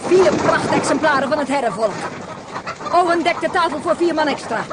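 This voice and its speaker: An actress playing a brisk, commanding farm mother in a radio drama. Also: high-pitched, expressive, over a noisy crowd, very noisy. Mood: firm, commanding.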